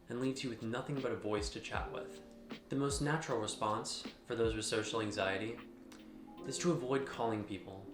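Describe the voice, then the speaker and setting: A man giving a scripted explainer in a measured, instructional manner, speaking directly to the listener. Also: mid-range voice, reverberant. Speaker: host of a mental health explainer channel. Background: background music.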